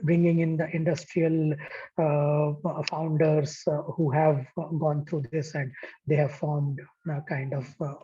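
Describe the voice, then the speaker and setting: A man speaking in an online workshop discussion, halting with frequent fillers, heard over distant, muffled call audio. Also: a little high, dark tone, slightly rough. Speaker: workshop participant.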